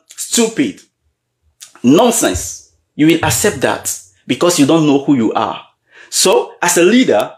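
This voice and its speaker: A man giving a motivational leadership talk, often pausing to check in with his audience and repeating key questions. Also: fairly high pitch. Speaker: leadership coach. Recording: roomy echo.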